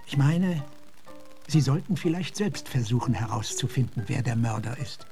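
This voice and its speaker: An actor playing an earnest, philosophical gentleman officer in a German radio drama, speaking in a measured, reflective way. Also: medium pitch, animated, vocal fry, loud music behind the voice. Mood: calm advising. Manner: measured, reflective, sometimes hushed.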